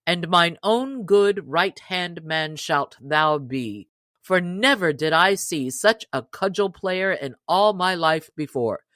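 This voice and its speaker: A woman's voice: fairly low pitch, animated, takes it slowly, a bit bright, no room sound. A woman reading a storybook, voicing a jolly, bold male outlaw leader with hearty, old-fashioned speech. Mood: jovial, hearty.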